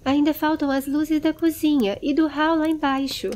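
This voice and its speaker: A woman's voice: sits high, little pitch movement, normal speed, a little mellow, slight background noise, voice pushed up, natural voice. A Brazilian woman voicing a commanding, protective lady with firm maternal authority in a dramatized fantasy audiobook. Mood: brisk.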